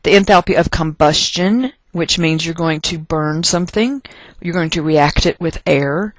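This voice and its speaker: Casting: American science teacher from Texas, medium pitch, folksy and emphatic lecturer, dry recording. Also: dry recording, poor audio. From Texas, United States. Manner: emphatic, deliberate stress and repetition of key points; folksy, joking asides. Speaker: chemistry teacher. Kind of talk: lecture narrated over class notes.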